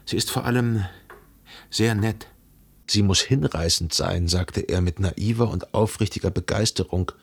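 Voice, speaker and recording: A lovelorn narrator in a literary radio play, lowish husky voice, thoughtful and measured. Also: male voice, heavily acted. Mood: reflective. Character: reflective, lovelorn literary narrator recalling his own past.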